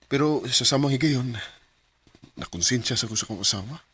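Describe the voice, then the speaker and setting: Guilty married man in radio drama, cebuano accent, raspy husky voice, hesitant and sorry. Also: mid-range voice, lively intonation, moderate pace, a bit bright. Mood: guilty, confiding.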